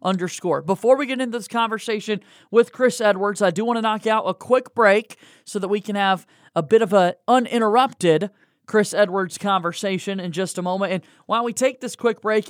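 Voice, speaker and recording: A man's voice: high-pitched, no room sound, clean studio sound. A man hosting a sports podcast, moving between introductions, sponsor reads and interview questions. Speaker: podcast host.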